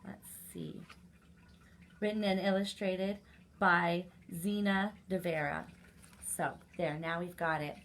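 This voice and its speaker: A woman hosting a children's story time, reading aloud and chatting to young listeners directly, in an animated manner. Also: fairly low pitch, slow pace, slight background noise.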